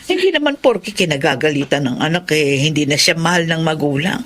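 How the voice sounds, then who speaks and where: A woman's voice: deep voice, expressive, a little fast, a little hiss or hum. An actress playing a fretful, kindly older Filipino mother in a drama, exclaiming and soothing by turns. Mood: reassuring.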